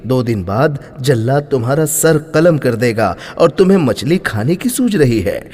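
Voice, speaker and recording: An anxious, irritable baker's wife from a moral tale, as a male storyteller puts her on. She speaks urgently and scoldingly, with swooping pitch and a husky edge. A long hall reverb trails the words. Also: expressive, normal speed, darkish tone. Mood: irritated.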